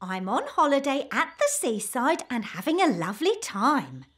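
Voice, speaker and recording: A kindly castle maid in a cartoon. Her voice is very high and bright, swooping widely in a brisk, sing-song way. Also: husky, exaggerated voice. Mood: cheerful, helpful. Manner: expressive, sing-song reading to a child. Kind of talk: preschool cartoon voice acting.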